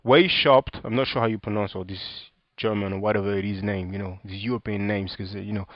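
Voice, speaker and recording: A man's voice: on the low side, steady tone, quick pace, no room sound. French-accented guy ranting sarcastically into a dry close mic, street slang, slightly dull lo-fi sound. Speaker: video commentator. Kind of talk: casual commentary reading from a book and website.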